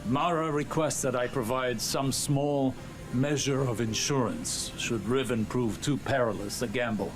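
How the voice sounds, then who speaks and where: A man playing a grave, earnest scholar in a game, speaking in a measured, formal, cautious way. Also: medium pitch, close to an everyday voice. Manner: measured, formal, hesitant in places. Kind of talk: dramatic game cutscene monologue. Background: music.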